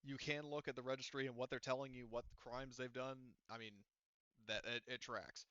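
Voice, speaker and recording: Clear-voiced man game master, slightly dull recording. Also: medium pitch, flat delivery, normal speed, sharp tone, no room sound. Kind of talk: casual tabletop game session talk.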